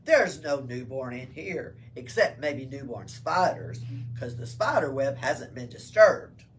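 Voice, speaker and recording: A woman voicing a blunt, gruff male soldier in a storybook, dismissive and emphatic. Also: very deep voice, big pitch swings, measured pace, full character voice. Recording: distant room mic with background noise.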